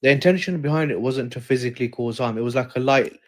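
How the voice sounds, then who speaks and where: A man's voice: mid-range voice, quick pace. A man calling into a live-stream debate, defensive and restarting his sentences often. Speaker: caller.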